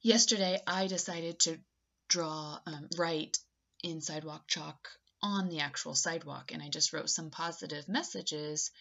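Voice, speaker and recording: A woman in her thirties with a very lively, swooping delivery, talking children through a picture book. She takes her time, leaning on key words and pausing before prompting with questions. The sound is slightly dull, picked up by a webcam in a room at home. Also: fairly low pitch. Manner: expressive, dramatic pauses and emphasis, prompting. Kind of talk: animated read-aloud with questions to young listeners.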